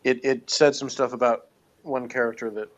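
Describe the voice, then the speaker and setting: A man's voice: mid-range voice, level tone, normal speed, lo-fi sound. A man co-hosting a casual, unscripted chat, speaking hesitantly as he searches for his words. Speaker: podcast co-host.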